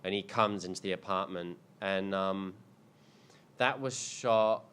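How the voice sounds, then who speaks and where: A man's voice: deep voice, a little slow, fairly bright tone, a little hiss or hum. A man in his thirties, a filmmaker answering audience questions in a casual, rambling way, full of ums and restarts. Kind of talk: casual panel Q&A. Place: indoor screening event.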